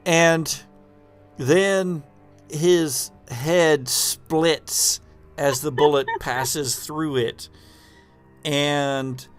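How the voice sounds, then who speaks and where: Slow, halting man narrating a game. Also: medium pitch. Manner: halting, dramatic storytelling with drawn-out pauses. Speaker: game master of a tabletop role-playing show.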